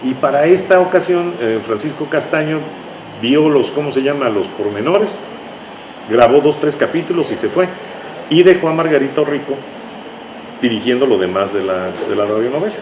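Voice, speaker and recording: A man's voice: mid-range voice, expressive, moderate pace, a little mellow. A man with a Mexican accent speaking as an interview guest, telling anecdotes conversationally and often ending with tag questions. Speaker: interview guest, writer recalling his radio work. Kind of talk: conversational reminiscing. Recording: echoey room, noisy ambient hum.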